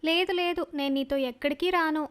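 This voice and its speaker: Snooty young cartoon girl, read by a woman, high-pitched, sulky and dismissive. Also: steady tone, normal speed, a bit bright. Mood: scornful, sulky. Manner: scornful, curt. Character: vain, sulky little girl, a younger sister.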